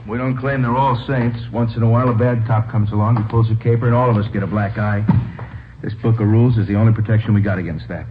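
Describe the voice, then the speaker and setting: A man's voice: fairly low pitch, steady tone, fast talker, natural voice. A man acting a firm, formal, by-the-book senior police officer in an old radio drama, on a tinny, hissy recording. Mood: stern.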